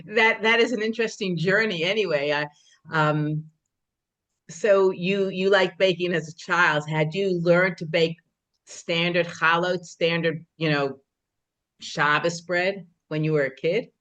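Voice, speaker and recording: A woman interviewing a guest on a video call, chatty and quick to laugh. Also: on the low side. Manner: warm, laughs often, chatty. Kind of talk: conversational interview.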